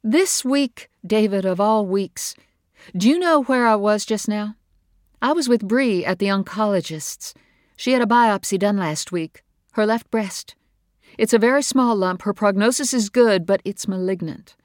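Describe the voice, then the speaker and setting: An upset middle-aged mother, as a woman narrator voices her, pitched up and pressing hard. Her lines come out strained and pointed. Dry, close sound. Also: a little slow, no room sound, close to an everyday voice.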